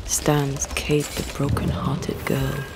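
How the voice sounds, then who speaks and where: A woman's voice: very deep voice, monotone, slow, background noise. An actress playing a wry, perceptive ghostly woman companion in a game cutscene, dry and knowing. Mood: teasing. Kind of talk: dramatic game cutscene acting.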